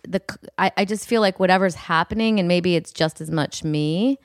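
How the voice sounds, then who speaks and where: A woman's voice: on the low side, brisk, dry recording, studio quality. An American woman co-hosting a podcast in casual conversation, candid and rambling, hedging as she goes. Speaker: podcast co-host.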